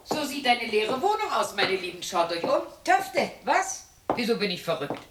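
A woman's voice: on the high side, big pitch swings, normal speed, far from the mic, background noise. An actress playing a lonely, bitter widow in her sixties in a radio play, dramatic and defiant, heard in a roomy echo away from the mic. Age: 60s. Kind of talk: radio play monologue acting.

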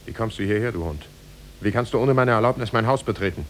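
An actor playing a stubborn, quick-tempered, penniless young man in a 1950s German radio drama, curt and emphatic. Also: lowish voice, lightly acted. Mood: angry. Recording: noisy 1950s radio recording.

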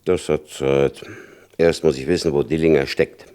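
An actor playing a hard, shrewd FBI chief in a German radio crime drama, condescending and insinuating, with a gravelly voice. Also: male voice, a bass voice, fairly even intonation, normal speed, dark tone. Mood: tense, stern.

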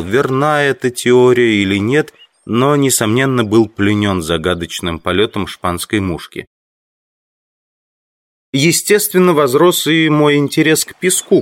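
A man narrating a literary audiobook in the first person, with measured, carefully phrased, expressive delivery. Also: mid-range voice.

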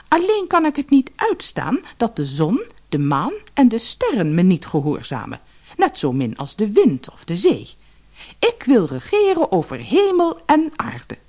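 A woman's voice: fairly high pitch, wildly swooping pitch, unhurried, a little mellow, pitched above the performer's own voice, exaggerated voice. A woman voicing a bossy, demanding fisherman's wife turned haughty queen in a fairy-tale audiobook, scolding and emphatic.

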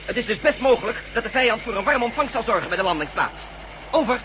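Radio-play operator, brisk, unflappable, rapid delivery on a noisy recording. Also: male voice, extremely high pitch, lively intonation. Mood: reporting. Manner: brisk, matter-of-fact. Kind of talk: Dutch radio drama acting.

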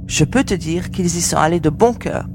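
A woman's voice: lowish voice, moderate pace, noisy background, close to an everyday voice. A woman playing a determined young female mercenary in a science fiction audio drama.

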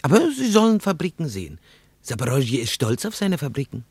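An actor playing a nervous, talkative young Russian interpreter in a radio drama, husky and emphatic, often repeating himself. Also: male voice, mid-range voice, sing-song, fairly quick, a little mellow, no room sound. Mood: eager, officious. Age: young adult.

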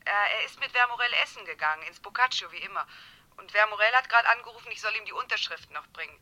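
Very bright, piercing female lover in a radio thriller, tender and questioning, on a thin phone line. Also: mid-range voice, animated, fast talker. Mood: obliging, affectionate. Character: smuggler's female lover, affectionate, puzzled office employee. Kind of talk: German radio crime play acting.

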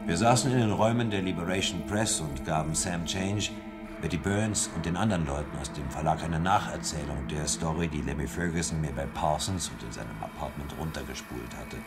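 A man playing a sober, resolute investigator in a radio play, speaking deliberately. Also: extremely low pitch, gravelly, exaggerated voice. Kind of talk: scripted radio play first-person narration. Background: music.

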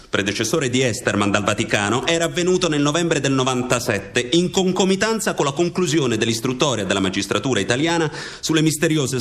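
A man's voice: mid-range voice, a bit bright. A man delivering formal, emphatic scripted narration for a documentary radio drama.

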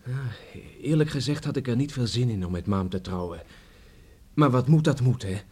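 Cocky young villager, slightly husky. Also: male voice, medium pitch, expressive, moderate pace, dark tone. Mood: teasing, confident. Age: young adult. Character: cocky, cheerful young village man teasing his parents. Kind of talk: Dutch radio drama acting.

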